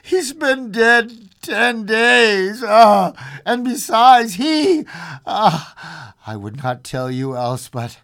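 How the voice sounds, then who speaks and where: A woman's voice: low voice, sing-song, unhurried, full character voice. A woman voicing a frightened old husband in a story, stuttering and faltering unsteadily. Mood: shaken. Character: frightened, trembling old husband, aghast and tender.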